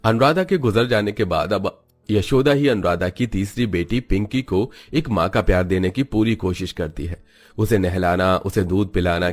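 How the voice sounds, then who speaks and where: Low-voiced male storybook narrator. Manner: steady, storytelling.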